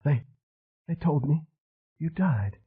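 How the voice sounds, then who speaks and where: A fragile, fervent madwoman, put on by a male narrator, her voice pushed high and trembling. She rambles with a lively, swooping pitch. The sound is husky and thin, as if heard over a phone. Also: slow, phone-quality sound, full character voice. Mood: wounded, rambling.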